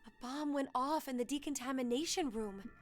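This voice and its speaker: A woman's voice: high-pitched, normal speed, soft background music, natural voice. An actress playing an anxious young woman trapped in a deadly game, in video game cutscene style. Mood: grave.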